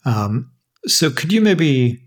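A man's voice: medium pitch, sing-song, takes it slowly. A man hosting a conversational interview, asking questions in an informal, roundabout way. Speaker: interview host.

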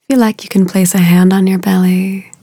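A woman leading a guided meditation in a soothing, unhurried way, with a soft, breathy voice and long pauses between short phrases. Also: medium pitch, level tone, no echo, studio quality.

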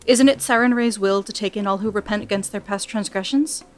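A woman's voice: mid-range voice, a little fast, close to an everyday voice. A woman voicing a tearful adolescent girl apprentice who splutters and pleads. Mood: pleading.

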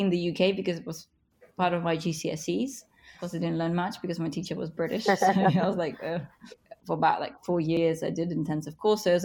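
A woman in her thirties, a native speaker of Russian and Ukrainian, gives interview answers with slow, emphatic stresses. She sounds encouraging and earnest, and her voice sits fairly low. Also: gravelly. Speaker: guest, author and business leader.

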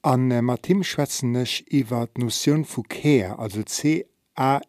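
A man with a Luxembourgish accent hosting a radio interview podcast from a studio, with halting delivery and self-corrections. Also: mid-range voice, a little mellow, dry recording. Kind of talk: interview and show introduction.

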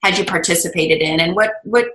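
A woman's voice: fairly low pitch, brisk, fairly bright tone. A woman interviewing a program graduate in a chatty, encouraging way, asking long questions. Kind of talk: casual interview. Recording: echoey room sound.

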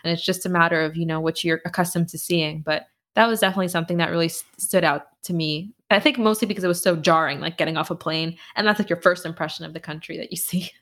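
A young woman answering interview questions casually, telling animated stories with lots of "like" fillers. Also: lowish voice, fast, hardly any pauses. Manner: animated storyteller with frequent "like" fillers and laughs. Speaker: podcast host and finance blogger, interview guest.

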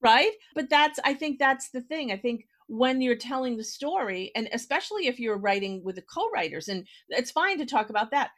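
A woman's voice: on the high side, lively intonation, normal speed, few pauses, a bit bright. A woman hosting a casual interview, talking in a direct, explanatory way and checking in with the listener as she goes. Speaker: podcast host, writer.

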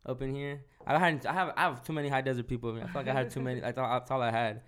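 A young man from hosting a casual podcast chat, profane and relaxed, laughing often. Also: medium pitch, quick pace. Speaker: podcast host.

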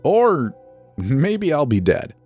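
A man's voice: on the high side, sing-song, takes it slowly, a little mellow, slightly rough, faint music behind, voice pushed up, exaggerated voice. A man voicing a cocky, defiant teenage boy, mocking and breezy, in a dramatic story reading. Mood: flippant.

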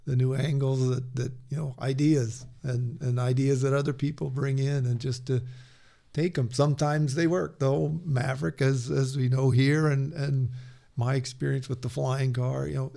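A man talking as a podcast guest, halting and restarting often, laughing easily. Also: mid-range voice, flat delivery, vocal fry, warm tone. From Peru. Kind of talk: casual conversation.

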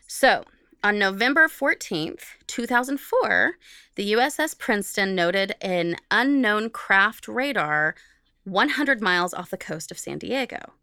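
A woman's voice: medium pitch, animated, a little slow, bright, forceful tone, clean tone, no room sound, studio quality. A woman co-hosting a podcast, reading from notes in an informal, jokey way and carefully repeating technical terms. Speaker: podcast co-host.

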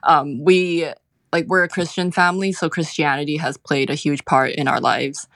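A woman's voice: low-pitched, fairly even intonation, moderate pace, fairly bright tone, no room sound. A woman in her twenties from Hong Kong speaking as an interview guest, reflective and hesitant, often saying filler words. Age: 20s. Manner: hesitant, filler-heavy with frequent "like".

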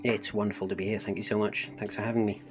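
A man's voice: on the low side, little pitch movement, fast talker, soft background music. A man with a Welsh accent, a podcast guest telling his life story in a hesitant, conversational way with frequent restarts. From South Wales. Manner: hesitant, many restarts and ums. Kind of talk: conversational interview.

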